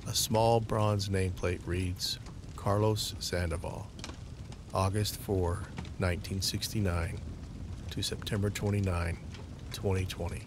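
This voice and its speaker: A man's voice: low-pitched, fairly even intonation, measured pace, noisy background. A man narrating an audio drama from a script, describing each scene in the present tense.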